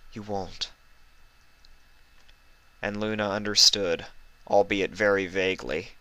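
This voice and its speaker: A man reading a fan fiction story aloud as expressive scripted narration. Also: sits low, smooth, no echo.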